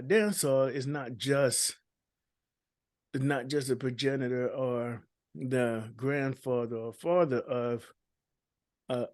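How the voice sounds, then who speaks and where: A man's voice: mid-range voice, darkish tone, no room sound. A man speaking as a dancehall artist in an interview, talking casually with many ums and restarts.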